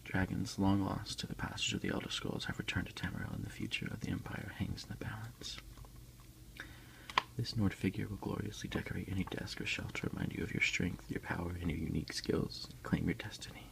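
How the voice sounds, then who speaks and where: A man delivers soft ASMR in a hushed half-whisper, close to the mic. His low voice is heavily creaky, crackling with fry as he reads aloud and comments at an unhurried pace. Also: fairly even intonation. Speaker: ASMR video creator.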